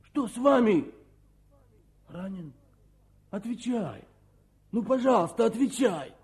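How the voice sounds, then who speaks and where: A man's voice: high voice, expressive, slow pace, a little mellow, heavily acted. An actor playing an anxious, devoted young male soldier in a radio war drama. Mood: alarmed, pleading.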